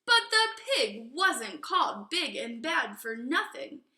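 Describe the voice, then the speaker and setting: A woman reading a children's story aloud as an expressive narrator, deliberate and emphatic on key words. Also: high voice. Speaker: storybook reader. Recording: echoey room.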